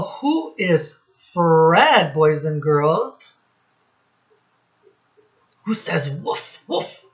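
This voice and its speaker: A woman teacher reading a storybook aloud to young children in a deliberate, instructive way, pausing to ask them questions. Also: on the low side, muffled recording.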